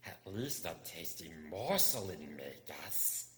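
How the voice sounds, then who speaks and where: Small slimy storybook creature: throaty hissing whisper, very slow, sly and hungry, drenched in cavernous reverb. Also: male voice, low voice, very animated, fairly bright tone, full character voice. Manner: slow, muttering to himself. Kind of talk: storybook character voice. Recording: echoey, long reverb.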